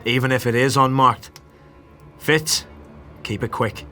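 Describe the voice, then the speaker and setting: A menacing boss of a scavenging ring in a dark fantasy audio drama. His voice is forceful and hard-edged, a little low, with a sardonic, taunting manner and music underneath. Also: fairly even intonation, measured pace. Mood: menacing, mocking. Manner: foul-mouthed, taunting, threatening.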